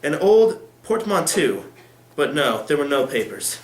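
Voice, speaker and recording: A young man as a calm formal lawyer, echoey. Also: fairly high pitch, measured pace. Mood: calm, meditative. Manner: undisturbed, meditative, formal. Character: calm, discreet male lawyer, measured and formal. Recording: echoey room.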